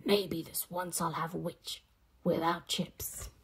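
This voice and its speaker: A woman voicing a mean, hungry dragon with a slow, breathy growl. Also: lowish voice, flat delivery, slight background noise, pitched below the performer's own voice. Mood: menacing, gloating.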